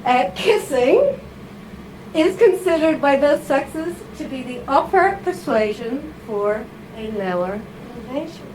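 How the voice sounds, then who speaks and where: A woman giving a chatty, informal talk to a live audience, full of asides, fillers and quick "okay?" checks. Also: sits high, low-quality recording. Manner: chatty, animated, with frequent fillers and tag questions. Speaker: writer giving a reading. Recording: audible background noise.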